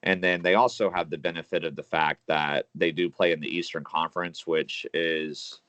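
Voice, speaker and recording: A man's voice: deep voice, fairly even intonation, normal speed, a bit bright, no room sound. A man giving casual sports opinions as a podcast co-host, recorded on a muffled, lo-fi microphone.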